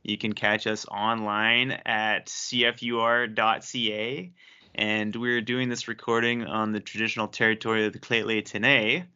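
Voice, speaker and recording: A male radio host with a bright, forceful voice who keeps things easygoing and chuckles often. He leads a casual interview. The audio is dull and lo-fi, as from a remote setup. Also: on the low side, little pitch movement, moderate pace, clean tone.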